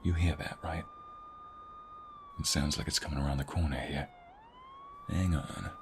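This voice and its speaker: A man playing a protective, flirtatious ex-mobster husband in an intimate ASMR roleplay, tender but menacing, with breathy murmurs and a teasing manner. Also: huge pitch swings, normal speed, noisy background, full character voice. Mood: alert, teasing. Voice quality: deep, gravelly, often breathy murmur. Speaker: voice actor.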